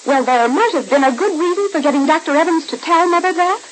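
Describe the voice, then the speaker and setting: An actress playing a stubborn, suspicious grown daughter in an old radio soap, pointed and defensive. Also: female voice, very high voice, fast, rough recording. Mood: wary, accusing. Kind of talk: 1940s radio soap opera acting. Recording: hissy old-time radio recording.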